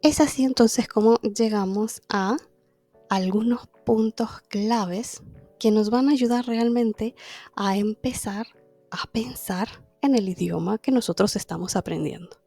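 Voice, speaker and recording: A woman explains a language-learning lesson in a relaxed, conversational teaching manner, often posing a question and answering it herself. Her voice is warm and mellow, and faint music sits underneath. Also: medium pitch, a little slow, gravelly, no room sound.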